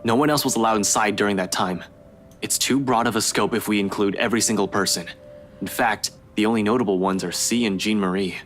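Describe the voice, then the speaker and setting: A man's voice: fairly low pitch, fairly quick, fairly bright tone, soft background music, lightly acted. A man playing a skeptical, wry young man in a visual novel, talking in a dry, doubtful way.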